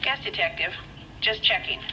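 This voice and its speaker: An actress playing an efficient American 1940s police information operator, precise and official, in a video game. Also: female voice, mid-range voice, animated, moderate pace, natural voice. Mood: helpful, official. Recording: noisy radio or telephone line.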